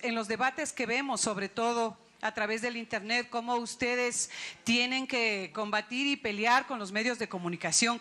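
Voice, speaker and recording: A woman's voice: fairly high pitch, sharp tone. A woman with an Ecuadorian accent asking a spontaneous question from the audience at a public event.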